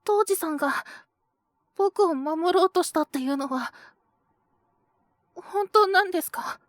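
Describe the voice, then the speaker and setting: 女性が演じるとても高い声の気弱な青年. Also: female voice, very high voice, measured pace, no echo, studio quality. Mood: anxious, downcast. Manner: hesitant, stammering, soft-spoken. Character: timid, innocent, gentle young man. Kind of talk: Japanese audio drama acting.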